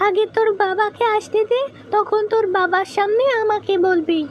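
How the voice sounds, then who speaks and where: A woman voicing a quick-tempered, demanding young wife in exaggerated comic cartoon skits. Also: very high-pitched, fairly even intonation. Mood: angry, bossy. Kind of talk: comic cartoon dubbing in short joke skits.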